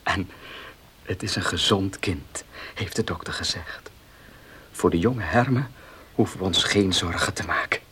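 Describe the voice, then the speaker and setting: Husky, proud gentleman farmer. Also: sits low, unhurried, pauses often, exaggerated voice. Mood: tender, hushed. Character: proud, domineering gentleman farmer, loving but stubborn husband. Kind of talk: Dutch radio play acting.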